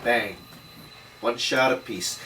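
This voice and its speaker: A man's voice: medium pitch, slow pace, sharp tone. A man playing a world-weary, middle-aged 1930s detective, dryly delivering terse hardboiled lines at a table read. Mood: wry, grim. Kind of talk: noir voice-over and dialogue at a table read. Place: indoor table read, recorded on camera. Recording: distant mic, faint room noise.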